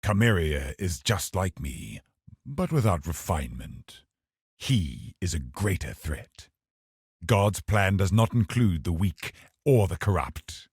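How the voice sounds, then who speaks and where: A cold, scheming religious officer of a video game villain. The voice is very deep and rough-edged, with slow, formal, calculating delivery that swings widely in pitch. It is recorded close and dry. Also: male voice, very animated, dry recording, exaggerated voice. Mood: cold, defiant. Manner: measured, haughty, calculating, formal. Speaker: game boss character. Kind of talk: dramatic video game voice acting.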